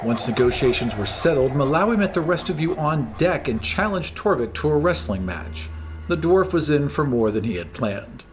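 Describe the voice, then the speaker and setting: A man's voice: on the high side, very animated, moderate pace, background noise. A man reading scripted fantasy recap narration as the narrator of a story. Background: music.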